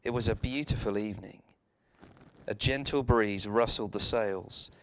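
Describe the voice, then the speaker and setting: A man, a reverend, telling a children's bedtime story in a measured, dramatic way with deliberate pauses. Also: on the low side, fairly bright tone, poor audio.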